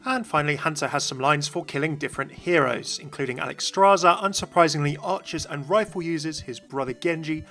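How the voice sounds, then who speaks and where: A man's voice: on the high side, a little fast, few pauses, fairly bright tone, soft background music. A man hosting a gaming video with scripted, explanatory narration. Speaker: video host.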